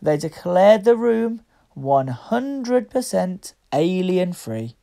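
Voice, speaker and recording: A woman reading a picture book aloud to young children, with deliberate, expressive phrasing. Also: fairly low pitch, creaky. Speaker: children's story reader.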